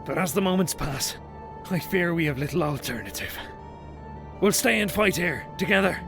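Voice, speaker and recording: A man playing a stern, reluctant ranger leader in a fantasy audiobook, with a gravelly, hissing edge. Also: sits high, animated, normal speed, over music. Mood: grim resignation.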